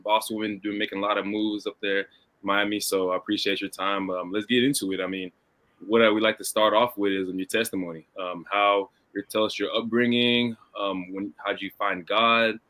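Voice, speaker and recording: A man from Congo co-hosts a podcast and asks questions in a relaxed, rambling way, often starting with "um." His voice sits on the low side. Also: a little fast. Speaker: podcast co-host. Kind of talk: casual podcast interview.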